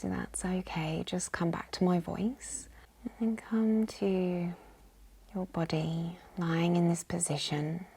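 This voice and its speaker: A woman leading a guided yoga nidra meditation in a soft, whispery, breathy voice, calm and gentle. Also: on the low side. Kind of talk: guided meditation, slow hushed instruction.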